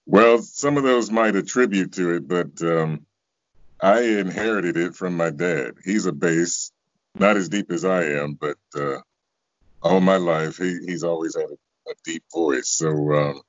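A middle-aged American man with a very deep bass voice, chatting casually as an interview guest on a video call. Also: measured pace, dry recording. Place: small room at home, indoors. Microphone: video call, close to device. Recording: dull lo-fi video call audio.

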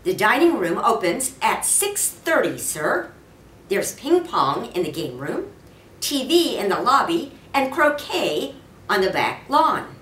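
A woman voicing a polite bellboy of about sixty in a storybook, courteous and deliberate. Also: mid-range voice, sing-song, a bit bright. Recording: roomy, distant mic with faint noise.

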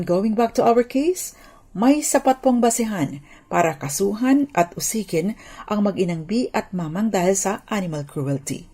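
A Filipino woman gives a legal explainer for radio. Her voice is soft-edged and mellow, with a husky grain. She is formal, polite and instructive, like a lawyer addressing the public. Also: mid-range voice.

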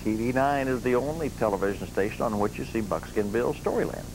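A man's voice: medium pitch, a little fast. An American man hosting a children's show with casual show-and-tell chat, on a noisy 1980s TV broadcast tape.

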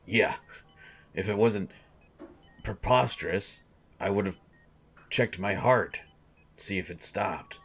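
A man voicing a grumpy coach turning monstrous. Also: fairly low pitch. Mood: uneasy. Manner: halting, hesitant, repeating himself. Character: gruff male sports coach turning into a hungry monster. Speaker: audio drama voice actor. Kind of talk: audio roleplay monologue.

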